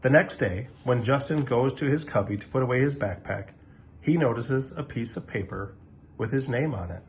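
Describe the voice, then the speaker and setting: A man reading a children's story aloud in an animated, expressive way, with dramatic pauses. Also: mid-range voice, a little hiss or hum.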